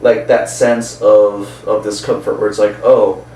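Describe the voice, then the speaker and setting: A man's voice: fairly low pitch, level tone, measured pace, mellow. A man co-hosting a casual chat, rambling a little and searching for words as he explains his opinion. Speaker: podcast co-host. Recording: roomy, distant mic, background noise.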